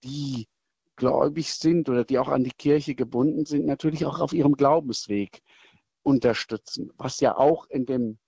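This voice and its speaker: A middle-aged man, a military chaplain, answering interview questions in a thoughtful, measured way with hesitations and restarts. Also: medium pitch, gravelly, dark tone, husky, no echo, dull audio.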